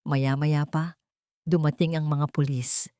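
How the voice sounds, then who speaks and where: A woman with a low-pitched voice narrates an audio drama. Her delivery is scripted, dramatic storytelling, captured dry and close without room sound. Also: little pitch movement, slow, mellow, dry recording.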